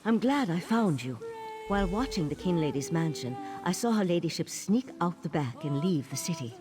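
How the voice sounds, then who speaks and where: A woman playing a composed elven mage in a video game, earnest and wary, with a measured, formal way of talking. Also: on the low side, very animated, over music. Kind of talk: video game character acting.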